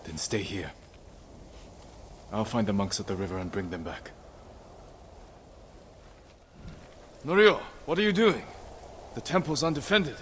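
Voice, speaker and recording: An actor playing a battle-hardened, stoic samurai hero in a video game, with a grave, terse and commanding manner. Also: male voice, fairly low pitch, animated, normal speed, a little hiss or hum, lightly acted. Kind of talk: dramatic video game acting.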